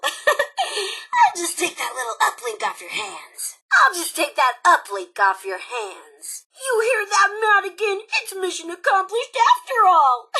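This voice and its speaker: An actress playing a manic, sarcastic female robot raider who runs a radio in a video game, sassy and flippant with a raspy edge. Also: high-pitched, bright, forceful tone, heavily acted, poor audio. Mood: gleeful. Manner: sassy, flippant, swinging between mock sweetness and snark. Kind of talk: video game character voice lines.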